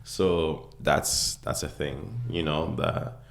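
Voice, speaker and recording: Very deep gravelly young man. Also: takes it slowly. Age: 20s. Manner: rambling, full of "like" and "you know". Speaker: podcast co-host. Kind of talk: casual chat. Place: home kitchen. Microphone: speaking close into a mic.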